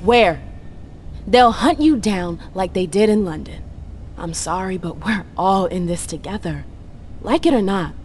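A woman's voice: mid-range voice, lively intonation, measured pace, darkish tone, a little hiss or hum, natural voice. An actress playing a determined, persuasive female vampire in a video game cutscene, assertive and blunt. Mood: urgent.

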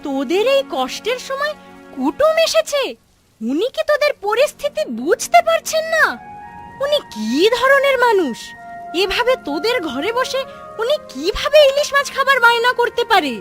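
A woman's voice: very high-pitched, big pitch swings, normal speed, a bit bright, soft background music, full character voice. A woman voicing a caring, outspoken young village woman who advises like a protective elder sister in a cartoon. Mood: indignant. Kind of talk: cartoon story dialogue.

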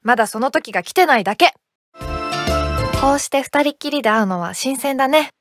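A cheerful teenage girl manager in a game. She speaks in a high voice with friendly, gentle encouragement. Music is clearly heard behind her. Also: lively intonation, a little fast. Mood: encouraging. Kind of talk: Japanese game voice acting.

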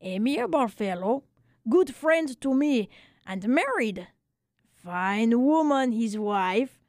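Lady doing a chatty tired old french barber guy for a story, very animated, exclaims and sighs out his philosophy. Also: on the high side, dry recording, clean studio sound. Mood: chatty, wistful. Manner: exclamatory, lamenting, drawn-out phrasing. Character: small old Frenchman barber, weary, talkative, wryly philosophical.

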